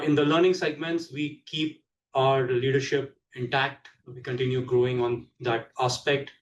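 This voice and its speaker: Guy doing a formal company results update, pretty flat pitch, muffled with some rumble behind. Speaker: company executive. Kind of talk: prepared business presentation on an earnings call. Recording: distant mic, dull sound, low rumbling noise.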